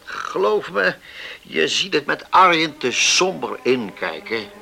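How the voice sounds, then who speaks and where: A man playing a steady, contented middle-aged island bachelor in a Dutch radio drama, calm and plain-spoken as he reassures. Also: on the high side, takes it slowly, creaky, heavily acted. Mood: reassuring, frank.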